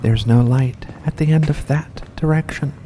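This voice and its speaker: A performer voicing a mysterious, seductive vampire in a slow, deliberate ASMR roleplay, courteous and teasing. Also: mid-range voice, mellow, lightly acted. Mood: eerie, hypnotic. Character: seductive mysterious vampire host luring in a guest. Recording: faint background noise.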